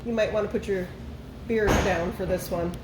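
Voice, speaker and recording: A woman's voice: medium pitch, steady tone, normal speed. A woman reading fan questions aloud to a friend in a plain, matter-of-fact way. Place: brewery. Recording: roomy echo with ambient noise.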